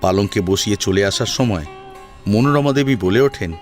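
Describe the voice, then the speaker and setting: A man's voice: on the low side, normal speed, soft background music. A man narrating a scripted family drama as a storyteller, in a dramatic audio-story style.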